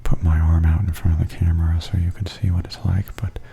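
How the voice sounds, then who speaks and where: A man giving softly spoken ASMR tour commentary in a breathy, near-whispered, gentle and unhurried murmur. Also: low-pitched, flat delivery.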